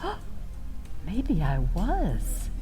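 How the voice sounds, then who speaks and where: A woman's voice: medium pitch, huge pitch swings, mellow, soft background music, heavily acted. A woman playing a flamboyant, self-dramatizing storyteller in a comic radio monologue, wry and flirtatious, drawing out words for effect. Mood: melodramatic. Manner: theatrical, drawn-out delivery with pauses, sighs and exclamations.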